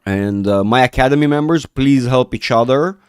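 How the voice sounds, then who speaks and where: A man hosting a live market chat with viewers, rambling and restarting sentences, his voice rough from a cold. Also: mid-range voice, moderate pace, dry recording, studio quality. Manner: rambling, self-interrupting, reads chat aloud. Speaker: market analysis livestream host. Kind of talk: casual livestream chat with viewers.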